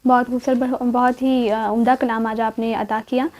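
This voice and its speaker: High, mellow Pakistani woman hosting. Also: little pitch movement, normal speed. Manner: formal, courteous, polished literary phrasing. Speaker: host. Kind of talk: formal programme hosting.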